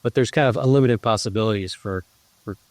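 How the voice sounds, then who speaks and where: Dark-toned man, earnest and halting. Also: fairly low pitch, dry recording. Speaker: guest, urban farmer and ministry leader. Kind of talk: conversational interview.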